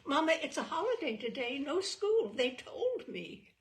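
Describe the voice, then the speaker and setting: A woman's voice: high voice, very animated, unhurried, voice pushed up. A woman reading a story and voicing an anxious little girl who pleads and makes insistent excuses. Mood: pleading.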